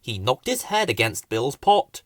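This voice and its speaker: Male audiobook narrator, children's story, unhurried, highly expressive pitch, dry close sound. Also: dry recording. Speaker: audiobook reader.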